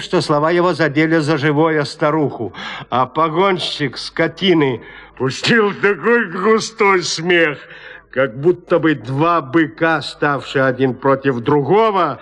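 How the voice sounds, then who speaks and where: A man's voice: a little high, fairly bright tone. A man narrating a classic literary tale in an audio play.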